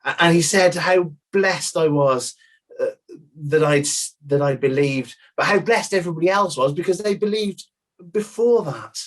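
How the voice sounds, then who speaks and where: A man playing an earnest, convinced disciple giving halting testimony in a dramatized inquest. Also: fairly high pitch, close to an everyday voice. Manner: halting, earnest, repeating words.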